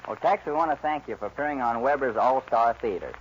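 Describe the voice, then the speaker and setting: Formal American announcer, muffled vintage radio. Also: male voice, mid-range voice, fast talker, faint music behind. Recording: muffled 1940s radio recording with hiss.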